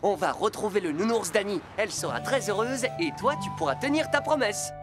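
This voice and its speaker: A woman's voice: mid-range voice, expressive, fairly quick, close to an everyday voice. A woman voicing a helpful, reassuring rescue police car in a French children's cartoon dub, speaking earnestly. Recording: music behind the voice.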